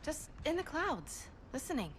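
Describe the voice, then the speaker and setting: A woman's voice: high voice, big pitch swings, very noisy. A woman playing an earnest, restless young alien superheroine in a video game. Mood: restless, pleading. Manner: earnest, frustrated, measured. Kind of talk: video game cutscene acting.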